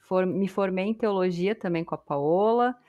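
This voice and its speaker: A woman's voice: medium pitch, moderate pace, mellow, dull audio. A woman from southern Brazil, a missionary guest on a podcast, introducing herself in a modest, slightly shy way. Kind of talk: casual podcast chat.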